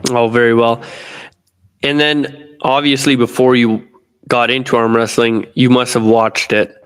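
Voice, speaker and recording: A man from North America hosting a podcast interview, asking his guest steady strings of questions. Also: mid-range voice, a little slow, clean tone. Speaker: podcast host.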